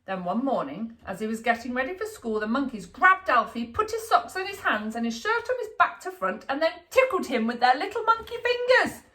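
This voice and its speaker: A woman reading a children's picture book aloud in an expressive, deliberate storytelling manner for young listeners. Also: high voice. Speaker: storybook reader, school staff.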